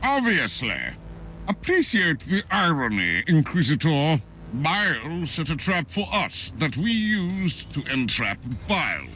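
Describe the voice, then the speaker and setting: An actor playing a stern, righteous zealot inquisitor in a video game, declamatory, solemn and unyielding. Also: male voice, a little high, sing-song, unhurried, sharp tone, slight background noise, full character voice. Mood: stern, dismissive. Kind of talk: dramatic video game acting.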